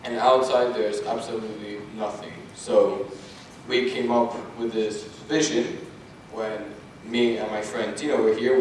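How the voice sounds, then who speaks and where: A young man from Russia presenting a product pitch to an audience, upbeat and a bit hesitant. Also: darkish tone. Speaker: student presenter. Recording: echoey room, far from mic, some noise.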